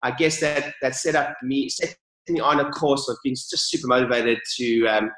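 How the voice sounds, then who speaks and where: A man's voice: medium pitch, steady tone, normal speed, fairly bright tone, reverberant, poor audio. A man with a South African accent, talking as an enthusiastic, upbeat podcast guest. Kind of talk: casual interview.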